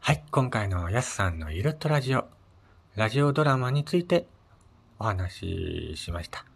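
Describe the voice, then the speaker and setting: A man with a low-pitched voice hosts a relaxed solo radio talk. He speaks politely in short phrases, often pausing on small fillers as he gathers his next thought. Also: creaky, breathy edge. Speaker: solo podcast host. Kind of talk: casual solo radio chat.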